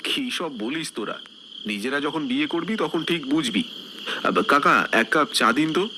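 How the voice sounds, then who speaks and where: A man's voice: a little high, expressive, moderate pace, close to an everyday voice. A man playing a good-natured young married villager chatting casually in a cartoon. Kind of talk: cartoon dialogue. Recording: noisy night ambience with insects.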